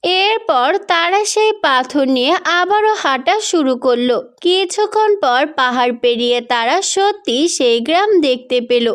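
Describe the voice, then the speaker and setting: A woman storyteller reading scripted fairy tale narration for children. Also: high-pitched.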